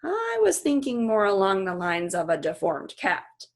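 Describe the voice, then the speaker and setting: Woman reading as a skeptical, slow-talking weary dad. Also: medium pitch, wildly swooping pitch. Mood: doubtful. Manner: doubtful, measured. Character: skeptical, reluctant, weary adult father. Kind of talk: children's novel read-aloud.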